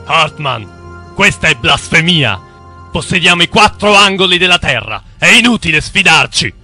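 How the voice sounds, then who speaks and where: An actor playing an arrogant, menacing banker's emissary in a cartoon, speaking in imperious declamations. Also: male voice, a little high, sing-song, moderate pace, sharp tone, exaggerated voice. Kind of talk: animated film villain dialogue. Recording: music under the voice.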